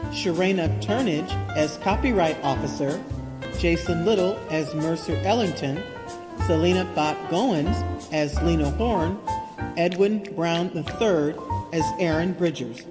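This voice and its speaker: Female narrator, lowish voice, scripted credit read, reverberant, prominent music. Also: unhurried. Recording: long reverb, loud music bed. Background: loud music.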